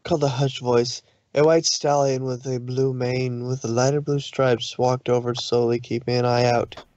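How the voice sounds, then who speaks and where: A man's voice: medium pitch, flat delivery, moderate pace, darkish tone, dry recording, lo-fi sound. A man narrating a fan fiction story aloud in an informal read-through.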